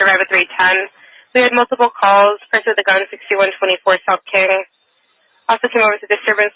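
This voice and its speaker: A man's voice: sits high, no room sound. A man working as a police dispatcher, reading out a call in clipped, routine radio phrasing. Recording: tinny police radio transmission.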